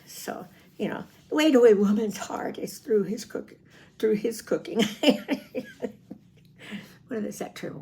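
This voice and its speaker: Casting: American woman, seventies, chatty video diarist with a gravelly edge and lively, swinging intonation. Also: mid-range voice. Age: 70s. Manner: chatty, rambling, prone to chuckling. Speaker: vlogger. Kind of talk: casual personal video diary.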